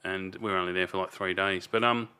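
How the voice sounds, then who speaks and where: A loud Australian man in his fifties with a fairly low but bright, forceful voice. He tells stories casually and rambles, often trailing off mid-sentence and starting over. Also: steady tone, fast talker, smooth. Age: 50s. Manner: rambling, trails off and restarts a lot. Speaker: podcast guest. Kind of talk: casual storytelling chat.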